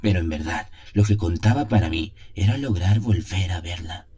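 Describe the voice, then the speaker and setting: Male narrator for gothic audio fiction: very low, mellow voice, solemn theatrical delivery, music underneath. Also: moderate pace. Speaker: narrator of an audio horror story. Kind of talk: dramatic scripted first-person narration of a gothic tale. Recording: music behind the voice.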